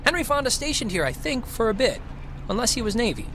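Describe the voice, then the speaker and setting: High-pitched, chatty, goofy teenage boy. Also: big pitch swings, fast, a bit bright, a little hiss or hum, exaggerated voice. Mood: flippant, chatty. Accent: American. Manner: rambling, jokey, flippant. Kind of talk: naturalistic video game dialogue.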